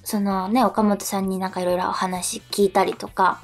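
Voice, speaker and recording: Polite modest woman co-hosting a radio chat, medium pitch, kinda hesitant phrasing. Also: little pitch movement, moderate pace. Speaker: radio co-host, stage actress.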